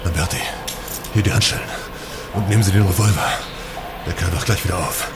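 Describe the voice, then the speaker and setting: A dutiful, alarmed male police sergeant played by an actor in a radio crime play. He speaks fast and urgently in a low voice against loud background noise. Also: fairly even intonation, close to an everyday voice. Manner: urgent, concerned. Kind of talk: radio crime drama acting.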